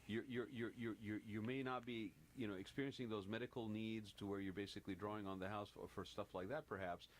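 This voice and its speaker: A man's voice: on the low side, steady tone, fast, few pauses, a little hiss or hum. A man in his fifties co-hosting a casual panel talk, often restarting words and ending points with a "right?" tag. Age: 50s. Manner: repetitive restarts, rhetorical "right?" tags. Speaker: podcast co-host.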